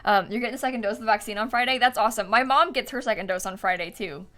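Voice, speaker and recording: A woman's voice: on the high side, very fast, fairly bright tone. A young woman live game streamer chatting casually and informally with her viewers, with a giggly manner. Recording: music playing behind. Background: music, typing.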